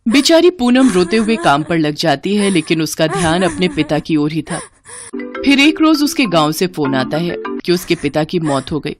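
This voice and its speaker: A woman's voice: lowish voice, very animated, moderate pace, over music. A woman narrating a scripted moral story for children as the storyteller.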